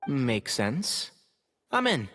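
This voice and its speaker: Lively-pitched, mild young scholar. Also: male voice, very slow, husky, big-room sound, exaggerated voice. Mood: wry, impressed. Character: mild, thoughtful young male former student. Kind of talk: video game voice acting.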